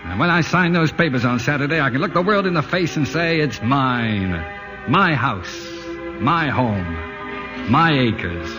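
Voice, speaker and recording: A man playing a harried, lively city ad man. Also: mid-range voice, natural voice. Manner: brisk, exasperated, wistful. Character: harried middle-aged city ad man, dreamy homebuyer. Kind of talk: 1940s radio acting. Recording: dull old radio broadcast with music.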